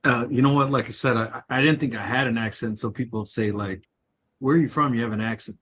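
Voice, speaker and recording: A man's voice: mid-range voice, steady tone, a little fast, fairly bright tone, slightly rough. A man from Chicago co-hosting a casual live chat, telling personal stories with frequent laughs. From Chicago, USA. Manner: storytelling, chatty, laughs often. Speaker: co-host.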